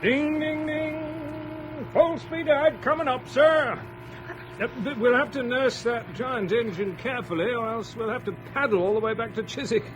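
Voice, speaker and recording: An actor playing a devoted, resolute father with gentle humour in a radio drama. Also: very high voice, normal speed, faint music behind, poor audio. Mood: playful, gentle.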